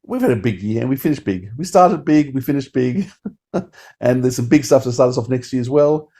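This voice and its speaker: A man's voice: mid-range voice, expressive, fairly quick, warm tone. A man co-hosting a podcast in an informal, enthusiastic way, chatting and reading out notes. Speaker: podcast co-host. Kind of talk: casual podcast chat, reading credits. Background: acoustic guitar music.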